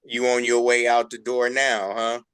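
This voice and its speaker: Male show host, sharp bright tone, mid pitch, informal familiar delivery. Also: completely flat delivery, a little slow, smooth, no echo. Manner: colloquial, familiar. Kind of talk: casual interview chat.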